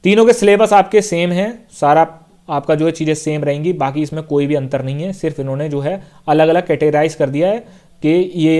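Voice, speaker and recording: A man's voice: a little high, a little mellow. A young man, an exam coaching teacher, casually walking viewers through a job notice, repeating points and checking in often. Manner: casual, repeats points, fills with "theek hai" and "bhai". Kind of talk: explaining a job notification on screen.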